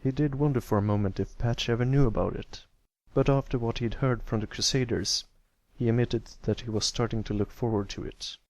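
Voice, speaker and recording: A man narrates a storybook tale in a mid-pitched voice with a gravelly creak. The recording is close and dry, and he reads as an amateur audiobook narrator. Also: steady tone, brisk, no echo.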